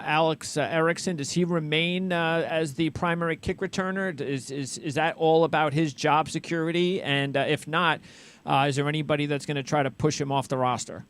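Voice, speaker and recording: A man hosting a sports radio show in a casual, halting, rambling way, often repeating words as he goes. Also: fairly high pitch, fairly even intonation, a bit bright, slight background noise. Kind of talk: casual interview and show patter.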